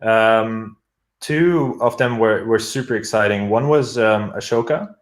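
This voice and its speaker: A man's voice: lowish voice, unhurried, echoey room. A young man from Germany with a thick German accent, a guest talking casually about his work with lots of fillers and restarts. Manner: rambling, many fillers and restarts. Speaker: guest product manager. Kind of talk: casual interview chat.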